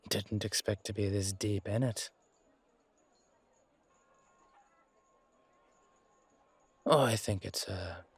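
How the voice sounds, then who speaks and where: A man playing a weary, down-to-earth knight guardsman in a fantasy audio drama, musing colloquially to his partner with hesitant pauses. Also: on the low side, slightly rough, no room sound. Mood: wry, musing. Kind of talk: roleplay audio drama monologue addressed to a partner.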